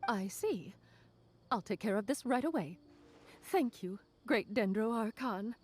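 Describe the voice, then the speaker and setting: A woman's voice: fairly high pitch, expressive, measured pace, slight background noise. A woman voicing a courteous, deferential academy clerk in a video game, formal and helpful. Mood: respectful.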